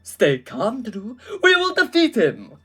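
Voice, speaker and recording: A woman voicing a smug, deranged supervillain man with a drawn-out, mock-grand delivery. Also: on the high side, wildly swooping pitch, fairly bright tone, breathy edge, voice pushed up, full character voice. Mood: solemn.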